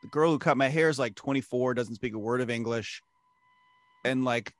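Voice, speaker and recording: A man's voice: medium pitch, normal speed, dry recording. A man co-hosting a movie podcast, chatting casually and jokingly, often slipping into silly put-on accents. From American Midwest. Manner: jokey, puts on silly accents. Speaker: podcast co-host. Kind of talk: casual chat.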